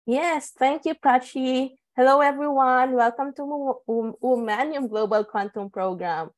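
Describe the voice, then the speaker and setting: A young woman from the Philippines speaks in a slightly high voice. She is a researcher giving an informal introduction of herself and her work at an online event. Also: measured pace. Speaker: postdoctoral researcher introducing themself.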